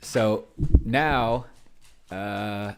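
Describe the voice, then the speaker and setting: A middle-aged man thinking aloud in casual commentary while playing a tabletop game at home, halting and muttering to himself as he goes. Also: lowish voice, darkish tone, slight background noise. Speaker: host of a solo roleplaying video. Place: at home, at a computer.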